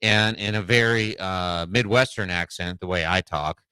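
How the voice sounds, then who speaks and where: A man co-hosting a sports talk show in a studio, telling a story in a rambling, halting way. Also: low-pitched, bright, forceful tone, clear, resonant tone, no echo. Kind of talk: casual chat. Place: radio studio.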